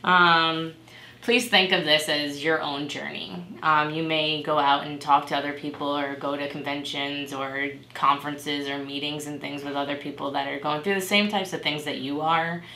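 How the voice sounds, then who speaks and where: Lady acting as encouraging patient navigator, low voice, chatty explaining, role-play in an echoey room. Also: flat delivery, moderate pace, sharp tone. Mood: gentle, explanatory. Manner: conversational, explanatory. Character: supportive female patient navigator, gentle and encouraging. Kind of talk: amateur role-play dramatization. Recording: echoey room with background noise.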